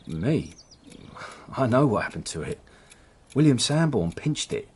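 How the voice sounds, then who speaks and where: A man's voice: medium pitch, very animated, unhurried, husky, heavily acted. A man playing a nervous petty crook and hapless henchman in a radio drama, with an English accent and a hesitant, self-excusing manner. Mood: storytelling. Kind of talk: British radio drama acting.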